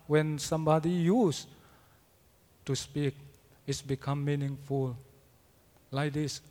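Slow halting preacher in a big hall. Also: male voice, a little high, darkish tone. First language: not English. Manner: halting non-native English, frequent restarts. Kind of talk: sermon. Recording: long hall reverb.

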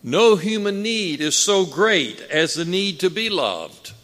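A man preaching a sermon with a booming voice, slow and emphatic, building in force. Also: sing-song, fairly bright tone. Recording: long hall reverb.

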